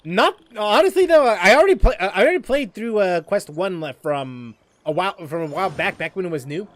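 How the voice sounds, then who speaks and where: A man's voice: high voice, lively intonation, brisk. A man casually commenting while he plays a video game, musing and rambling as he thinks aloud. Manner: musing, rambling, with restarts and laughs. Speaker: gaming streamer.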